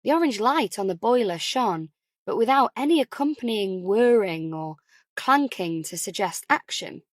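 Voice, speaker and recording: A woman's voice: mid-range voice, lively intonation, moderate pace, dry recording. Woman narrating fiction, dry close sound. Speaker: audiobook narrator.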